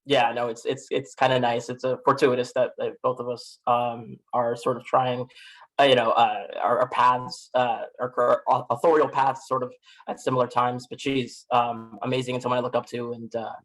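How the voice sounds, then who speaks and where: An American man from Miami talking as a guest author in a relaxed interview. His pitch sits in the middle, and he is reflective and self-deprecating, hedging as he thinks aloud. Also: normal speed, low-quality recording. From Miami, United States. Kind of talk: casual interview conversation.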